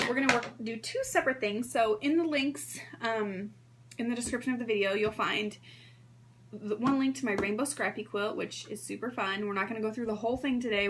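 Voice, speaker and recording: A woman in her thirties hosting a sewing livestream in a hoarse voice, chatting casually and greeting viewers by name. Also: mid-range voice, noisy background. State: hoarse from strep throat.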